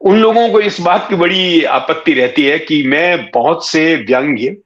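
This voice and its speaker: Older man, higher-pitched, explaining thoughtfully. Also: measured pace, fairly bright tone, muffled recording. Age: 60s. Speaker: interview guest, satirist and writer. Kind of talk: reflective conversational interview answers.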